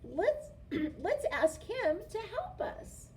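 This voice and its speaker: A woman's voice: extremely high pitch, sing-song, very slow, darkish tone, voice pushed up, heavily acted. A woman voicing a hopeful little toy clown in a storybook, calling out encouragingly. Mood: eager, hopeful. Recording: distant mic, faint noise.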